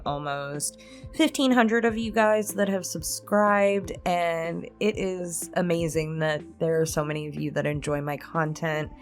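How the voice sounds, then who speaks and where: A woman chatting casually and informally to her viewers as a host, thinking aloud as she goes. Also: low-pitched. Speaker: host, roleplay ASMR voice actor. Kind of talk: casual chat to viewers in a pre-recorded Q&A.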